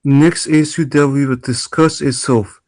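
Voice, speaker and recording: A formal, deliberate man , acted by a man, moderates a presidential debate in a stage play. His voice sits at a middle pitch as he puts measured questions, now and then repeating a word. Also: steady tone, a little mellow, close to an everyday voice. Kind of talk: amateur table read of a stage play.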